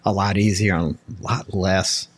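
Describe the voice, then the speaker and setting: A man's voice: sits low, fairly even intonation, moderate pace, breathy edge. An American man on a casual talk show panel, explaining things piece by piece. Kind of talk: casual chat.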